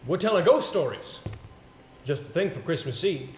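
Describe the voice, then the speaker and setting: An echoey stage recording with some background noise carries a man acting a haunted, earnest gentleman. He tells his ghostly tale in deliberate, theatrical phrases that halt and repeat. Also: a little high, expressive, lightly acted. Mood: wistful, uneasy. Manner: deliberate, dramatic phrasing with halting repetitions. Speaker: stage actor. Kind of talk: theatrical stage monologue and dialogue.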